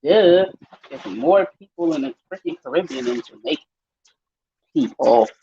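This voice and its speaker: A woman with a Panamanian accent, chatting casually with her viewers while she cooks, lively and full of slang. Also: normal speed, a little hiss or hum. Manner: lively, chatty, slangy, swings high when excited. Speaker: vlogger. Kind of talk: casual live-stream chat while cooking. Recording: dull lo-fi audio.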